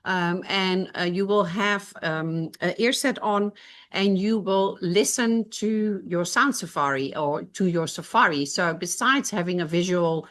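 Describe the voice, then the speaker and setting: A woman's voice: on the low side, unhurried, fairly bright tone. A woman with a Dutch accent, co-owner of a safari camp, answering interview questions with many fillers and restarts. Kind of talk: podcast interview.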